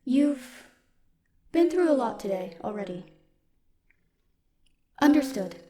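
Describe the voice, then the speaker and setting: A woman playing a stoic, dutiful military robot in an audio roleplay, speaking in a formal, measured, protective way. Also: high voice, big pitch swings, exaggerated voice. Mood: subdued, solemn. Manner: formal, measured, literal wording. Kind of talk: scripted audio roleplay, speaking softly to one listener.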